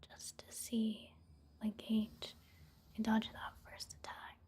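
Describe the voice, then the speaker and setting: A woman giving soft-spoken ASMR game commentary in a hushed, breathy whisper, in a gentle, casual, unhurried manner. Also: a little high, monotone. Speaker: gaming ASMR video host.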